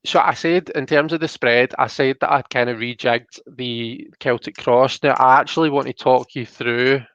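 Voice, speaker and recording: A man's voice: medium pitch, steady tone, moderate pace, a bit bright. A man hosting a casual live-stream, chatting in a tentative, apologetic way. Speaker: host of a live tarot reading show. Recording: muffled, roomy home live-stream sound.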